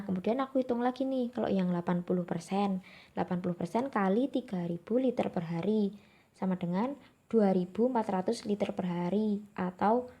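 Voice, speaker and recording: A woman's voice: medium pitch, mellow, slightly rough. Friendly Javanese woman tutoring exam questions. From Java. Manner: friendly, informal, addresses viewers as friends. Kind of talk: explaining exam questions to viewers.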